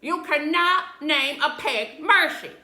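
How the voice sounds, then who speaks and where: A woman voicing a stern, indignant older woman who scolds loudly. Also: high voice, big pitch swings, unhurried, pitched above the performer's own voice, full character voice. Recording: roomy echo.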